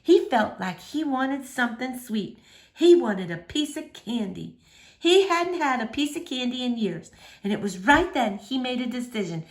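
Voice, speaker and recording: A woman narrates a children's storybook in expressive read-aloud style. Her voice sits fairly high and swings widely in pitch from phrase to phrase. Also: moderate pace. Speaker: storybook reader.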